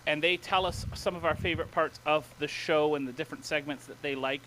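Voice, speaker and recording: A man hosting a gaming podcast in casual chat, excitable and playful, laughing often. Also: on the high side, brisk, slight background noise, low-quality recording. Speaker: podcast host.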